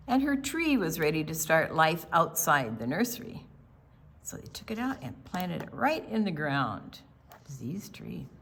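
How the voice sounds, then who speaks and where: An older woman reading aloud and explaining things to young children in a deliberate, teacherly, expressive way. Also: lowish voice, husky. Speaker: children's storytime presenter.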